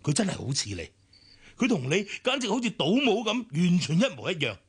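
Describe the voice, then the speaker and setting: A ruthless middle-aged schemer in a Cantonese radio drama, with a Hong Kong accent. His husky, creaky voice is slightly high and swings widely, drawing words out with gloating mockery. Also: huge pitch swings, heavily acted. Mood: smug scheming. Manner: drawn-out, mocking delivery. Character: scheming older male villain, gloating and ruthless.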